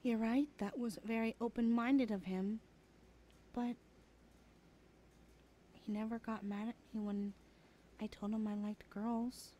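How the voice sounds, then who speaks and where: Casting: actress for young adult kid sister in roleplay drama; high voice, stubborn, stands her ground. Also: steady tone, takes it slowly, pauses often, mellow, a little hiss or hum, rough recording. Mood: exasperated, consoling. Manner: defiant, argumentative. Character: defiant, protective younger sister of an overbearing brother. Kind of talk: ASMR roleplay acting.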